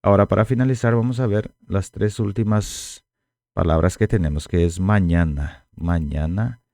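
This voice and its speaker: A man teaching a language lesson, speaking slowly and repeating each word for learners to copy, with Guarani as his first language. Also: low-pitched, gravelly, mellow, no room sound, clean studio sound.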